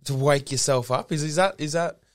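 A man co-hosting a comedy podcast, joking through an improvised bit with swearing. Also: a little fast. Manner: playful, swearing, pitch jumps up and down. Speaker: podcast co-host.

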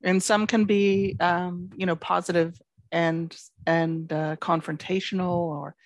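A woman's voice: on the low side, little pitch movement, a little slow, no echo. A middle-aged woman with a Canadian accent, answering interview questions in a thoughtful, explanatory way. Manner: thoughtful, explanatory, some fillers. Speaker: professor of psychology, guest.

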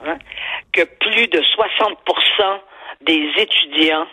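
Husky, forceful older Quebec woman commentator. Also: lowish voice, no room sound, rough recording. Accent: Quebec French. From Quebec, Canada. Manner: emphatic, halting, digressive, rhetorical asides. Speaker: columnist and commentator on a talk show. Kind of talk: opinionated radio commentary in conversation.

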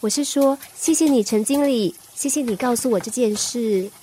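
A woman's voice: fairly high pitch, moderate pace, husky, low-quality recording. A woman playing a pouty, spirited young daughter. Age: young adult. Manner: lively, pouty. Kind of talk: radio drama acting.

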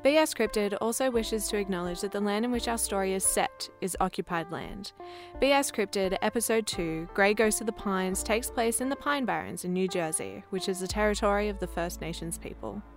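A woman's voice: medium pitch, a little fast. A woman with an Australian accent reading a scripted podcast announcement. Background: soft music.

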